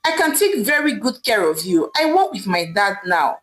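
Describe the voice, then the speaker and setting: A woman's voice: medium pitch, sing-song, normal speed, a bit bright. A woman voicing a passionate young man with a Nigerian accent, earnestly persuading his lover. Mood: passionate, pleading. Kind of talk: storytelling character voice.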